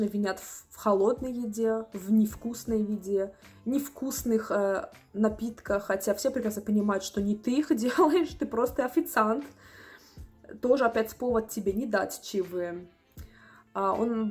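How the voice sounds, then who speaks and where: A young woman in her twenties telling a story to the camera from a small room at home, animated and indignant. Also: medium pitch. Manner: animated, indignant, acts out customers' voices. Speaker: vlogger.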